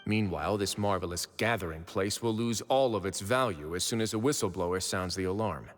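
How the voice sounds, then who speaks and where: Man acting composed fortress duke, low voice, measured, shrewd, anime game delivery, music behind. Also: close to an everyday voice. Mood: pondering. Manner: measured, deliberate, analytical.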